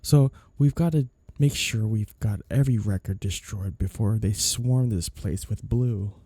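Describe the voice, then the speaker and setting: A man playing a flirty, coolly threatening mafia boss in an intimate ASMR roleplay, with a low gravelly voice. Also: measured pace, warm tone, no room sound. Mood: calm, scheming. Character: flirty, menacing male mafia boss, smooth and sly.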